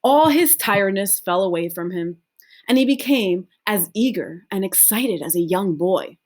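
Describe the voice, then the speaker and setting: Woman, storybook reader, expressive narration, lively varied intonation, middling pitch. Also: normal speed. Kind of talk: expressive scripted narration of a children's book.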